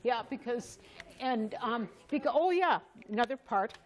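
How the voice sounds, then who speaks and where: A woman's voice: a little high, animated, normal speed, crowd noise. A woman in her seventies, chatty and effusive, hosting an informal cooking demonstration. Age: 70s. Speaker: cooking class host. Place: large wood-paneled community hall.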